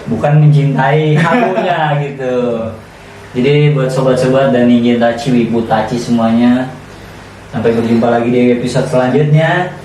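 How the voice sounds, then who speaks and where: Male podcast co-host, chatty, mid-pitched, heavily reverberant room sound. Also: fairly even intonation, moderate pace, a crowd in the background. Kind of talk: casual podcast chat. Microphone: cheap distant microphone. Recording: roomy echo, distant mic, faint noise.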